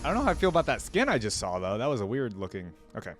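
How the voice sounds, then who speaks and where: A man's voice: medium pitch, sing-song, rapid-fire, soft background music. A man giving casual reaction commentary, musing aloud in slangy, impressed asides as the host of the video.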